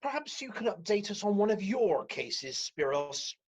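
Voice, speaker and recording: An actor playing a measured, patient but firm counselling team leader in a comedic radio play, speaking formally. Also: mid-range voice, sing-song, slightly rough. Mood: brisk, encouraging. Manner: formal, professional, admonishing. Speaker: team leader chairing a caseload meeting.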